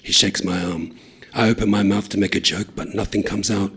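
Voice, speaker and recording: A man reading a short story aloud in a steady, low-key narration. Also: a little fast, breathy edge.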